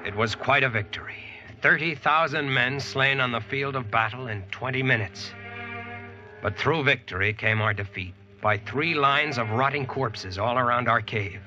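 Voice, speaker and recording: An actor in a 1940s radio drama playing a weary, resolute Yankee leader who also narrates. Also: male voice, medium pitch, normal speed, music in the background, natural voice. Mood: grave narrating. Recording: dull, band-limited vintage radio recording.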